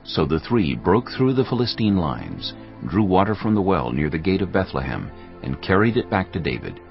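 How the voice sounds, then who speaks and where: A man with a gravelly, husky voice giving solemn, measured scripted narration of a dramatized Bible. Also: deep voice, big pitch swings, lo-fi sound, soft background music.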